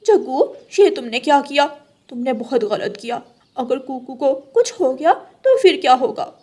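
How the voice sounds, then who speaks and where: A woman's voice: high voice, big pitch swings, a little slow, dark tone, full character voice. A woman voicing a sensible mother crow in a cartoon story, urgent and firm in manner. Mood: scolding.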